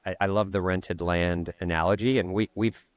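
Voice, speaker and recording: A man's voice: deep voice, fairly even intonation, normal speed. A man co-hosting a casual marketing podcast, explaining things step by step as he thinks aloud. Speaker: podcast co-host.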